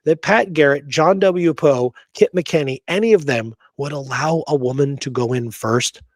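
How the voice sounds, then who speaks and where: A man's voice: on the high side, normal speed, no room sound. A man hosting a history show, talking casually and emphatically, with pointed rhetorical questions.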